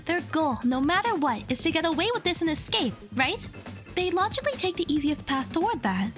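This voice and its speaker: A woman's voice: very high-pitched, big pitch swings, brisk, fairly bright tone, faint music behind, heavily acted. A woman playing an earnest young woman in an audio drama, arguing insistently and reasoning step by step.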